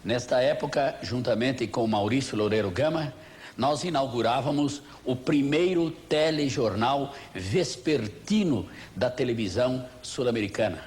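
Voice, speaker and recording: Slow, mellow, formal older Brazilian man. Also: mid-range voice. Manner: formal, grandiloquent, measured. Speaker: veteran television reporter. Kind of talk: formal reminiscence to camera. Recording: faint noise, old TV broadcast tape.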